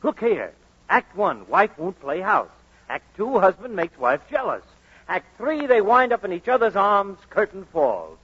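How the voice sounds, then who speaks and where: A man's voice: sits high, very animated, a little slow, husky, exaggerated voice. An actor playing a brash, glib, sardonic man who wisecracks breezily in 1940s radio comedy style. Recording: muffled old radio broadcast.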